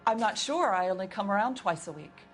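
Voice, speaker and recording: A woman's voice: medium pitch, expressive, brisk, soft background music, close to an everyday voice. A woman playing a nervous housekeeper questioned by police in a video game, talking hesitantly and stammering. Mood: defensive. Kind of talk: video game interrogation scene.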